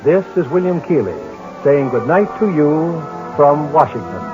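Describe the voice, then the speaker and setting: A middle-aged man hosting a 1940s radio drama broadcast, courteous and measured in a formal manner. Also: on the high side, very animated, highs cut off, over loud music. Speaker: radio drama host and producer.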